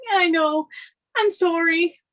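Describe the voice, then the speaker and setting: A woman's voice: very high-pitched, a little slow, fairly bright tone. A woman playing a flustered, anxious young runaway bride in a sitcom table read. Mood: awkward.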